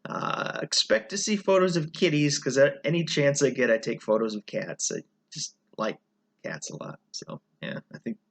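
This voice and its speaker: A man from the United States talking casually about a trip, relaxed and rambling with fillers and self-corrections. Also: mid-range voice, moderate pace. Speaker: channel host. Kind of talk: casual travel vlog chat.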